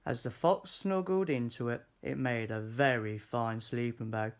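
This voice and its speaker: A man reading a children's story aloud in an expressive, deliberate, animated way. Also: mid-range voice, clean tone. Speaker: storybook reader.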